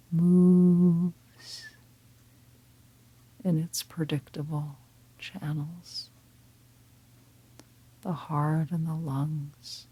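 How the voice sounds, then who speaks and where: A woman leading a guided meditation in a calm, gentle, breathy half-whisper, unhurried and with long pauses. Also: sits low, rough recording. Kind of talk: guided meditation, slow soft-spoken instruction.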